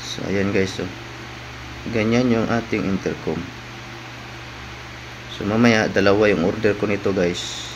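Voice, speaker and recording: Male vlogger, lower register, informal stop-and-start product walkthrough, recorded with clear room noise. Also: fairly even intonation. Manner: informal, halting, often tags phrases with "no?". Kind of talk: casual unboxing vlog narration.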